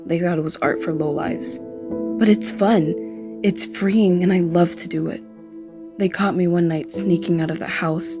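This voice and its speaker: A woman's voice: on the low side, lightly acted. A woman playing a rebellious young female artist, casual and sincere, in a voiced roleplay drama.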